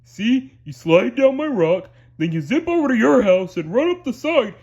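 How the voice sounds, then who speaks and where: A big-hearted dopey cartoon starfish, loud raspy voice that swoops all over, bit muffled. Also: male voice, extremely high pitch, sing-song, voice pushed up, full character voice. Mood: eager. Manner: loud, simple-minded, slow on the uptake. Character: dim, eager, good-natured male cartoon starfish.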